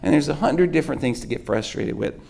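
A man, a pastor preaching with a sore, hoarse throat, telling rambling self-mocking stories. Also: fairly high pitch, animated, fast talker, darkish tone. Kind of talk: sermon.

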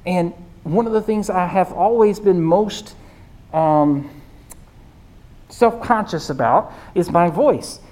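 A pastor with a squeaky voice preaching in a conversational way, often checking in with the listeners. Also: slow pace, pauses often, a little mellow. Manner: conversational, keeps asking "right?". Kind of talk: sermon.

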